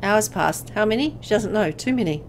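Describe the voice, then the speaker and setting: A woman reading a visual novel aloud as dramatic scripted narration, with an expressive storytelling delivery. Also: medium pitch, brisk, slight background noise, rough recording.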